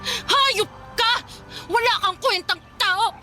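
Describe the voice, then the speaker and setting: A woman's voice: very high voice, takes it slowly, sharp tone, faint music behind, exaggerated voice. A woman playing a scorned, proud ex-mistress in a Filipino radio drama, confrontational, sarcastic and emotional. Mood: anguished fury.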